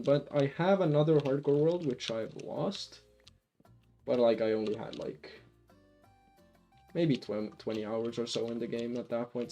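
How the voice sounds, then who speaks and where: A man's voice: medium pitch, mellow. A man from Finland with a light Finnish accent, chatting casually while playing a game on a live stream, rambling with many restarts. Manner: rambling, lots of restarts and fillers.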